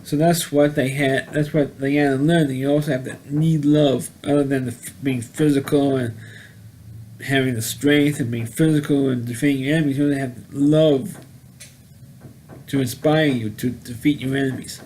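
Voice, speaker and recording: A man giving a casual, unscripted video review as host, rambling and halting, often repeating words and phrases. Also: mid-range voice, steady tone, a little mellow, a little hiss or hum, low-quality recording.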